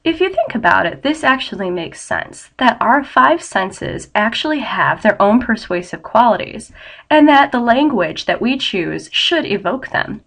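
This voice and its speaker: A woman's voice: a little high, sing-song, a little slow, a bit bright. Stuffy-nosed woman lecturing with a cold. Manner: explanatory, with frequent ums. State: head cold, nasal and scratchy. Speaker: college English instructor. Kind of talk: online lecture.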